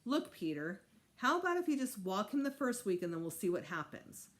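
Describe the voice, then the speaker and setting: A woman's voice: medium pitch, very animated, brisk, close to an everyday voice. A woman voicing a patient but exasperated mother, reasoning firmly, in a children's storybook.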